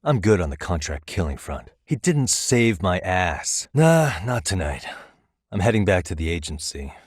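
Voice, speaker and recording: Streetwise American private detective, deep voice, dry close studio sound, cool, wry, dogged, conversational game dialogue. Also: male voice, big pitch swings, moderate pace, no room sound. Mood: casual, wry. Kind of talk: English video game voice acting.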